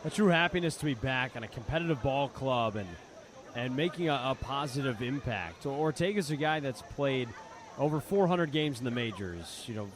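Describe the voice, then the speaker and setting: A man's voice: mid-range voice, expressive, moderate pace. A man working as color commentator on a live baseball radio broadcast, explaining the game in a reflective, conversational way. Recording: live ballpark broadcast with clear background noise. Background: outdoor ballpark ambience.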